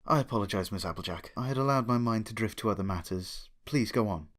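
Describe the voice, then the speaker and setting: A man's voice: lowish voice, fast, a bit bright, close to an everyday voice. A man voicing a reserved, weary male pony records clerk who speaks formally and with restraint. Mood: subdued.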